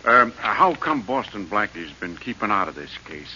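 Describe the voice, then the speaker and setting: A man's voice: lowish voice, animated, moderate pace, sharp tone, slight background noise. An actor playing a hesitant, apologetic police subordinate who defers to his boss, in 1940s radio drama style. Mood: meek. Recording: muffled old radio broadcast.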